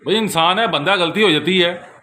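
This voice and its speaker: A man's voice: high voice, normal speed, noisy background. A man with a Pakistani accent giving an informal product overview in a conversational, explanatory way, often repeating his points, recorded on a handheld camera. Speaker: bookseller presenting law books. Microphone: handheld camera held in one hand.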